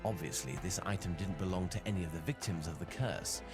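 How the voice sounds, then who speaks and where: Actor as grave, low-voiced gentleman detective, music behind. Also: close to an everyday voice. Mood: grave, pensive. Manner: measured, formal, deductive. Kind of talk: video game cutscene acting. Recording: loud music under the voice.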